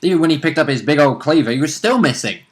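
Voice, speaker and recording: A man's voice: fairly high pitch, animated, quick pace. A man chatting casually as a co-host, reacting and adding comments about a tabletop role-playing game. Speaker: co-host and player reacting to the recap.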